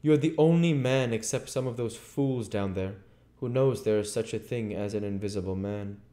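A man's voice: lowish voice, moderate pace, dark tone, pitched above the performer's own voice, natural voice. A man voicing a desperate, domineering invisible man in a storybook, commanding, insistent and well-spoken. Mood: menacing.

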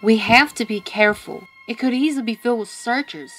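A woman's voice: on the high side, brisk, a bit bright, soft background music. A woman voicing a cautious, sensible young adventurer in a cartoon comic dub.

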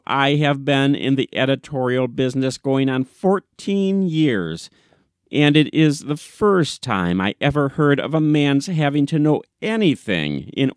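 A man's voice: mid-range voice, clear, resonant tone, dry recording, clean studio sound, natural voice. A man reading an audiobook, playing a brash, self-righteous newspaperman who rants in a pompous, declamatory way. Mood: outraged. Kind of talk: dramatic audiobook reading of classic comic short story.

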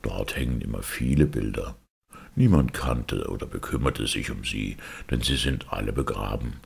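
A man voicing a gentle, wistful old man in a storybook, mild, reflective and gravelly. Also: very deep voice, normal speed, pitched below the performer's own voice, exaggerated voice.

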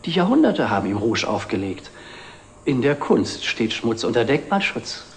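Dry, wry middle-aged antique dealer. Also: male voice, medium pitch, big pitch swings, moderate pace, gravelly. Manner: dry, aphoristic, formal. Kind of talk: 1960s German television drama acting. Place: indoor antique shop set. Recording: noisy old TV film soundtrack.

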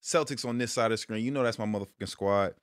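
A man in his thirties from Brooklyn, hosting a casual sports talk stream from a small room at home, switching between loud hype and low muttered asides. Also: fast talker, dry recording, clean studio sound. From Brooklyn, New York. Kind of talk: casual monologue to viewers.

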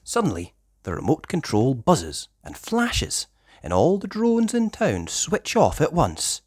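A person reading a children's story aloud in expressive narration. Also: mid-range voice, a little slow, slightly rough, dry recording, studio quality. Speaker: storybook reader.

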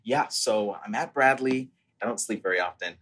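A man's voice: fairly low pitch, moderate pace, fairly bright tone. A young man in his twenties, originally from Brazil, talking earnestly and articulately about his life as a founder in an interview over a video call from home. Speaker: startup founder, guest. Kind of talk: interview storytelling. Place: living room, at home. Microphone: earphone headset mic on video call.

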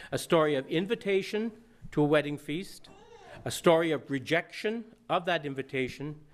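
A minister in his sixties giving a storytelling sermon in a church, folksy, now and then singing a line. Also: fairly high pitch. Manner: folksy, breaks into song. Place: church sanctuary.

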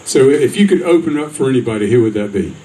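Man, emcee, brisk, teasing, outdoor stage, distant mic. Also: mid-range voice, animated, mellow. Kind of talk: onstage interview. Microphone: stand mic.

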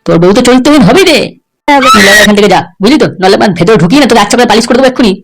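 A coarse male village henchman from a comedy cartoon as a voice actor plays him. The lines tumble out extremely fast and excitable, in a brash, scolding way, with music clearly behind. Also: medium pitch, close to an everyday voice. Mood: scolding, threatening. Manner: brash, scolding, rapid-fire. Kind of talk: Bengali comedy cartoon voice acting.

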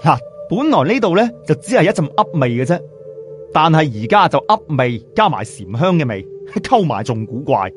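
Male narrator. Higher-pitched, very animated oral storytelling, chatty, music underneath. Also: moderate pace. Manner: colloquial, chatty, rhetorical questions to listeners. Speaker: audiobook narrator. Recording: music behind the voice.

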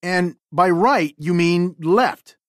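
A woman's voice: lowish voice, slow, dry recording, studio quality. A woman voicing a cocky, friendly young male race car in a playful storybook reading. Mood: confident.

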